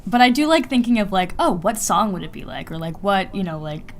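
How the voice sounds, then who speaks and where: A woman's voice: mid-range voice, very animated, brisk. A woman co-hosting a podcast in casual banter, slangy and sweary, with sardonic, exasperated asides. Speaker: podcast co-host.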